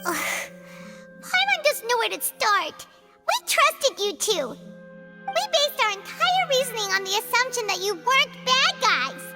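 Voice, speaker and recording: A woman playing a tiny childlike fairy companion in a video game, blunt, excitable and whiny. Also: extremely high pitch, measured pace, long reverb tail, loud music behind the voice, full character voice. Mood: hurt, cross. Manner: childish, whiny, speaks in third person.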